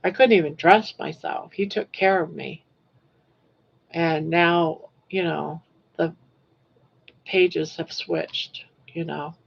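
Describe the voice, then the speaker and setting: An older woman from Maine with a southern Maine accent, hosting a casual livestream chat while reading viewer comments in a rambling, self-correcting way with soft trailing endings. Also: on the low side, fairly even intonation, slow, vocal fry, long pauses, husky, muffled recording. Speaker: livestream host.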